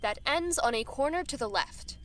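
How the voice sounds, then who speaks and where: A woman's voice: sits high, expressive, normal speed, a bit bright. A woman playing a persistent reporter, faint background noise. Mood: probing. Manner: probing, skeptical, hesitant fillers. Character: female reporter questioning an official, persistent. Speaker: journalist interviewer. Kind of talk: audio drama acting.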